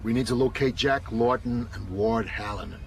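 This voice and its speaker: An actor playing a hard-nosed, middle-aged retired homicide detective, blunt and matter-of-fact in a radio drama. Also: male voice, mid-range voice, normal speed, vocal fry, slight background noise, lightly acted, low-quality recording. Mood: grim, matter-of-fact.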